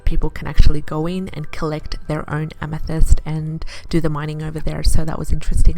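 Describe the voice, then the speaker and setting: A woman from Iraq narrating her vlog in a chatty, enthusiastic way, pausing often with "uh". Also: sits low, darkish tone. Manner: chatty, enthusiastic, with frequent "uh" pauses. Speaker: vlogger.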